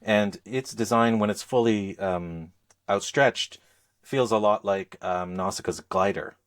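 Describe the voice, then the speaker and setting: Deep-voiced man chatting on a podcast. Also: unhurried. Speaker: podcast host. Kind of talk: casual chat.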